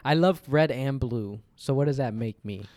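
Young male podcast host, playful ribbing, smooth and mellow, dry close mic. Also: medium pitch, normal speed, no echo. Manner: joking, teasing, laughs often. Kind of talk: casual teasing chat.

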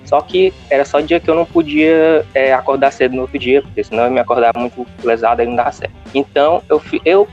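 A man's voice: mid-range voice, fast, few pauses, a little mellow, soft background music. Caller: man, Fortaleza accent, rambling, muffled lo-fi line. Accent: Fortaleza Brazilian Portuguese. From Fortaleza, Brazil. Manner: chatty, rambling with restarts. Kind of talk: casual chat.

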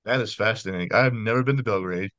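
A man with a lowish voice hosts a horror interview over a video call, talking in a chatty, rambling way with frequent restarts. His sound is dry and close, with no room behind it. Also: fast, a bit bright, dry recording. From United States. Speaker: podcast host.

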